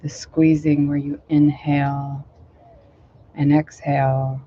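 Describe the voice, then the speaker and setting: A woman meditation teacher talks in a loose, rambling way full of fillers, her voice a little hoarse and low today. Her delivery is mellow and fairly flat. The recording is dull and lo-fi, made outdoors with faint noise behind her. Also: takes it slowly. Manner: rambling, filler-heavy, gentle. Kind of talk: casual talk leading a guided meditation.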